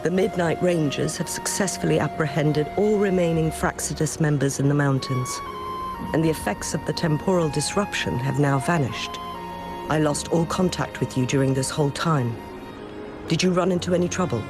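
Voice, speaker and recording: A woman playing a serious, concerned comrade in a video game, measured and matter-of-fact. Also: low voice. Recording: music behind the voice.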